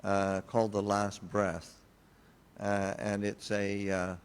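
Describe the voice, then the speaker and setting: An older man speaks slowly and haltingly, with a lowish voice, in an informal talk and Q&A. He pauses often with fillers and restarts. The hall carries a long reverb and a faint hum of noise. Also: monotone, smooth. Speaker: Buddhist monk teacher.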